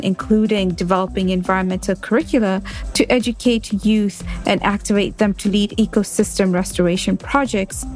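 Measured Kenyan-born woman podcast host. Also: mid-range voice, a little mellow. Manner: measured, scripted intro then conversational questions. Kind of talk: podcast interview.

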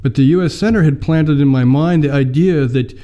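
An American man in his fifties, telling how he came to mission work in a measured, filler-laden interview. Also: fairly high pitch, mellow. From United States. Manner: measured storytelling with many fillers. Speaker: mission mobilizer, guest. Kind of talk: personal testimony in an interview.

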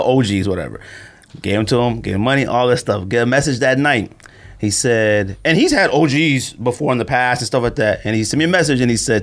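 A man hosting a podcast in casual, slangy chat, speaking in an animated and opinionated way. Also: mid-range voice. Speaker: podcast host.

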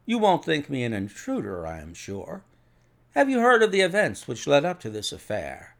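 A man voicing a cool, methodical detective in an audiobook, measured, precise and authoritative. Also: a little high, huge pitch swings, gravelly, heavily acted. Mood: polite, probing. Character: cool, methodical male detective, assured and analytical.

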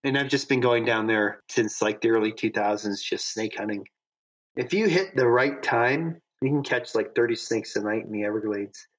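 A man's voice: fairly low pitch, normal speed, low-quality recording. A man as a podcast guest in casual chat, telling upbeat, rambling stories full of fillers. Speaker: podcast guest, knife designer.

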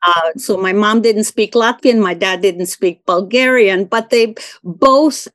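Older woman, halting friendly talk. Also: mid-range voice, a bit bright, no echo, studio quality. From Venezuela. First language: Spanish. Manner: hesitant, many fillers and restarts, laughs easily. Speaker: interviewee. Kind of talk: conversational oral-history interview.

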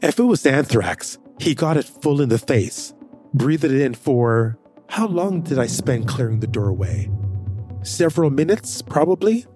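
Expressive male audio drama narrator. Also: measured pace, soft background music. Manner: expressive, rising pitch in dialogue.

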